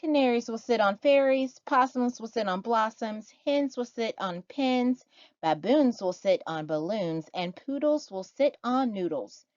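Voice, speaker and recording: A woman's voice: fairly high pitch, expressive, unhurried, a little mellow, no echo, highs cut off, voice pushed up. A woman voicing a bossy, smug storybook frog who lays down rules in a commanding sing-song. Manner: commanding, matter-of-fact, sing-song rhyming.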